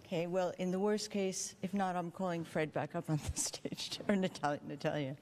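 A woman in her forties moderating a conference panel with a handheld mic, lively and joking between questions. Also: on the low side, a little fast, a little hiss or hum. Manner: lively, chatty, joking asides. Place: large conference hall with audience. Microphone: handheld microphone. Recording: long hall reverb.

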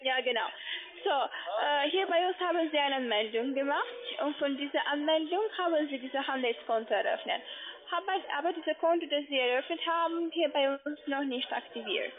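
A woman with a foreign accent, a non-native speaker, giving a halting phone sales pitch as a call-centre agent. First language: not German. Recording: tinny phone-like line.

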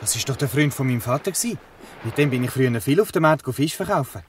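An actor playing an eager teenage lad who longs for the sea, in a Swiss German radio play. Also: male voice, mid-range voice, lively intonation, fast, a little hiss or hum, lightly acted. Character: eager orphaned lad dreaming of fishing. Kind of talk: Swiss dialect radio drama acting. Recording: slightly distant from the mic.

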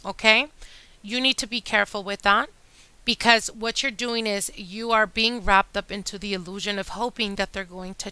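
A woman reading tarot cards for her channel, chatty and addressing viewers directly, her voice a little hoarse from a long day. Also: mid-range voice, no echo, low-quality recording. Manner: chatty, warm address to viewers, whispers during shuffling. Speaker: tarot reader. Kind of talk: casual tarot reading monologue. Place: indoor table with candles and decor.

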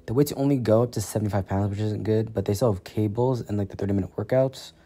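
A man's voice: fairly low pitch, fast, mellow, slightly rough. Laid-back teenage guy vlogging. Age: teens. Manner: laid-back, rambling with many fillers. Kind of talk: casual vlog narration.

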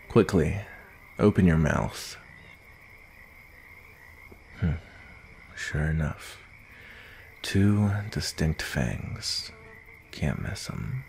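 A man voicing a gruff werewolf bounty hunter with a soft side, in an intimate ASMR roleplay, with a deep gravelly voice and a murmuring, deliberate delivery. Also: a little hiss or hum, heavily acted. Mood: puzzled, softening. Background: nature ambience.

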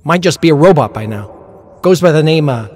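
A man voicing a timid, eager chipmunk avatar from a story, in a hesitant, excitable way. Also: on the high side, brisk, in a large hall, pitched above the performer's own voice, natural voice. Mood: eager, nervous.

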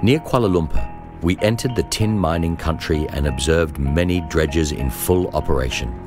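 A man with an extremely deep voice narrates a first-person memoir in a measured, deliberate way. It is scripted, audiobook-style reading, with music playing clearly behind him.

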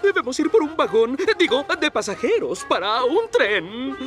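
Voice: sits high, very animated, moderate pace, faint music behind. An actor voicing a cheeky, childlike male tank engine in a cartoon, with a Latin American Spanish accent. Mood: flustered. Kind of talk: cartoon dub acting.

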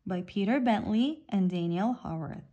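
A woman reading a children's picture book aloud in an expressive, deliberate and emphatic way. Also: mid-range voice, lo-fi sound. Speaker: storybook reader. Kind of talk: animated children's read-aloud narration.

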